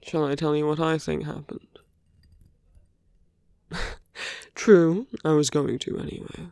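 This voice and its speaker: A performer voicing a smug, flirtatious villain in an ASMR roleplay, teasing and intimate, with a breathy murmur that often slips into whispers. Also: medium pitch, steady tone, takes it slowly, warm tone, no echo, studio quality. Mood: intimate, coaxing. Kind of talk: ASMR romantic roleplay audio drama.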